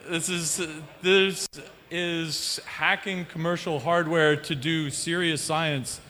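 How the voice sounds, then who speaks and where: Host guy welcoming the speaker, kinda high and bright, slow, big hall echo. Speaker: conference host. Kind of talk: introducing a speaker. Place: large conference hall. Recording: long hall reverb.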